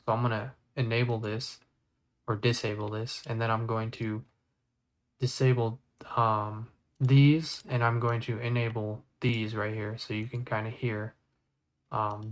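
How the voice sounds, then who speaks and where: A man's voice: lowish voice, fairly bright tone. A man giving an informal, rambling tutorial in a casual speaking style. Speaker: video editing tutorial presenter.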